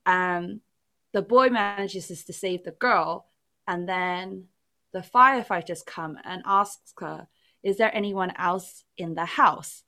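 A woman's voice: lowish voice, takes it slowly. A woman born in England whose first language was not English, chatting casually and telling a rambling story full of "like"s. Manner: animated storytelling, rambling with many "like"s. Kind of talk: casual chat.

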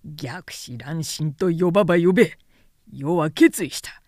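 An imperious warlord from an old Chinese epic, acted out by a female narrator. The voice is low and commanding, full of proud, hot-tempered authority, declaiming in archaic phrases for a storybook reading. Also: measured pace, a little mellow, no echo, studio quality. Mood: furious.

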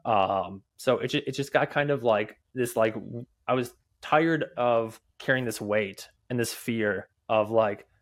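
Halting man who stutters. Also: mid-range voice. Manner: stutters, halting with many fillers and restarts. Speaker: podcast guest, app developer. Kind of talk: casual interview talk.

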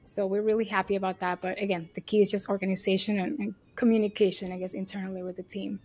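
A woman with a Venezuelan Spanish accent talks through her work in a loose, chatty way. She sounds confident and upbeat, sprinkling her answers with little fillers. Her pitch sits in an ordinary middle range. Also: little pitch movement, fast, a little mellow, slightly rough, soft background music. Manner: chatty, leans on "you know" and "like". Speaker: agency CEO, guest. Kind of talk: casual interview answers.

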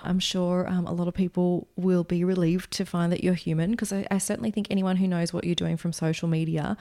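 A woman asks questions in a low, mellow voice. She sounds encouraging and pauses on soft fillers as she interviews her guest. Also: little pitch movement.

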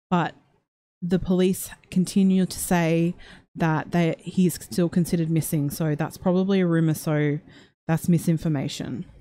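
A woman with a lowish voice hosts a livestream, chatting loosely and reading out viewer comments. Her tone is dark and mellow. She rambles, stopping and restarting her sentences. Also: slight background noise. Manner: rambling, many fillers and restarts. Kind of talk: casual chat.

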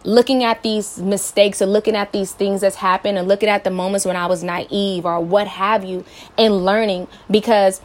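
Late-twenties woman, podcast host, earnest encouraging advice talk, mid-pitched voice. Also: steady tone, normal speed. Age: late 20s. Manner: earnest, encouraging, many rhetorical questions. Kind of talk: personal advice monologue.

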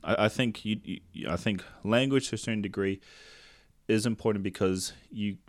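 A man with a deep, low voice who grew up in Tonga speaking Tongan first. He hosts a podcast in a casual, conversational way, circling back to rephrase his points and checking that the listener follows. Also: moderate pace. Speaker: podcast host.

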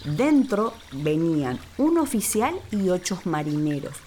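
A woman narrating a children's story in an expressive, deliberate, theatrical way. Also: on the low side, a little hiss or hum. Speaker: actress, storyteller.

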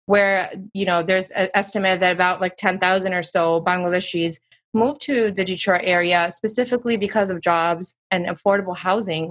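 A woman's voice: mid-range voice, a bit bright. A woman in her thirties, a guest speaking in a chatty, reflective way with many fillers. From United States. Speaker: interview guest. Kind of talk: casual interview talk.

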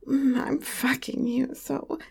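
A tall, teasing young lover, played by a woman murmurs in a soft, breathy whisper. Her voice sits high, and she takes her time over every word. It is close and personal, spoken straight to the listener. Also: little pitch movement, slow pace, heavily acted. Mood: whispery tender. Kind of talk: intimate audio roleplay to the listener.

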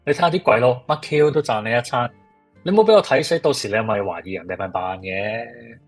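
Actor as a blunt, husky Hong Kong Cantonese guy. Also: mid-range voice, a little fast, faint music behind, close to an everyday voice. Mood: teasing. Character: blunt, teasing male old friend, easygoing. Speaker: radio drama actor. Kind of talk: radio drama dialogue.

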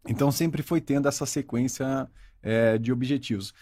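A man in his forties from Brazil, a guest in a casual interview, telling his career story in a talkative, reflective way and often tagging his sentences with a quick question. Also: medium pitch, mellow, dry recording, clean studio sound. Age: 40s. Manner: talkative, reflective, frequent "né?" tags.

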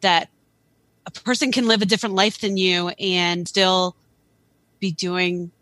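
A woman's voice: fairly low pitch, fairly even intonation, a little slow, a bit bright, no echo. A woman speaking as a podcast guest, talking thoughtfully and earnestly about her photo project, with many restarts. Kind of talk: casual podcast conversation. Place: Philadelphia area.

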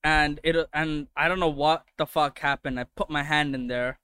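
A young man from India tells loose, profane stories in a casual chat, laughing often. His voice is bright and smooth, a little high for a man. It is recorded close and dry. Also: fairly even intonation, moderate pace, dry recording. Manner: swears a lot, laughs often, loose storytelling.

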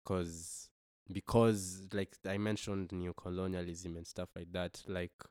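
A young man from Kenya with a very low voice speaks as a podcast guest. He talks in a reflective, hesitant way, often restarting his sentences. The recording is dry and close to the mic. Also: flat delivery, takes it slowly, darkish tone, clear, resonant tone, no echo. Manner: thoughtful, hesitant with many restarts. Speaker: guest musician.

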